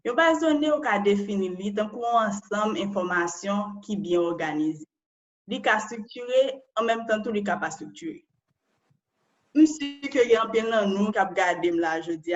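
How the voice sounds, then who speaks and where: A woman's voice: medium pitch, animated, moderate pace. Haitian lady walking through a talk online, asks "right?" a lot, muffled lo-fi call sound. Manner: explanatory, ends phrases with a questioning tag. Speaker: data analyst presenting. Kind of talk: online presentation.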